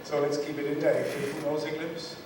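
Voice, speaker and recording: A foundation director on a panel, wry and joking with the audience. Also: male voice, on the high side, level tone, moderate pace. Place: event room. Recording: echoey hall mic from far off, background noise.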